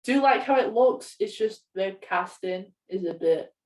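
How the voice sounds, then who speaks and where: A woman's voice: mid-range voice, lively intonation, unhurried, a little mellow. Woman podcasting from an echoey room. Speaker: podcast co-host. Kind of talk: casual podcast chat about films and games. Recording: echoey room, distant from mic.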